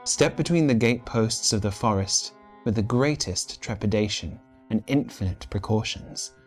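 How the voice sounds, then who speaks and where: Low-voiced man telling a dark tale. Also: normal speed. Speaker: reader of a short story. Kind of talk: dramatic literary storytelling, scripted narration. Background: instrumental background music.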